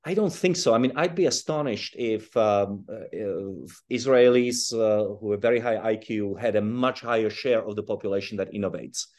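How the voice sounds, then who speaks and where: A man's voice: lowish voice, normal speed, hardly any pauses, a little mellow. Thoughtful man explaining over a video call. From Czechoslovakia and South Africa. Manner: thoughtful, restarts phrases, chuckles while explaining. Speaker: guest author.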